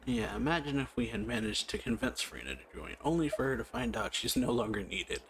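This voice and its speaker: Gravelly, casual man commenting on a game. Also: medium pitch, lively intonation, fairly quick, noisy background. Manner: brief offhand interjections. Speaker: let's play host. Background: game music.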